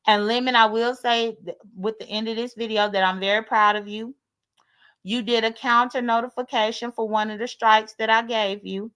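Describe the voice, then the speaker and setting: Higher-pitched American woman, blunt and sassy. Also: fairly even intonation, moderate pace, fairly bright tone, clean tone. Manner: blunt, sassy, swears freely. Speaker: livestream host. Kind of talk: casual livestream chat, airing grievances.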